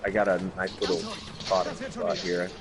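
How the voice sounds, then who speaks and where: A man with a very deep voice gives casual callouts during a multiplayer game. Noise and clicking are clearly heard behind him. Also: level tone, measured pace. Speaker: co-player calling out game events. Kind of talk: casual gameplay chat.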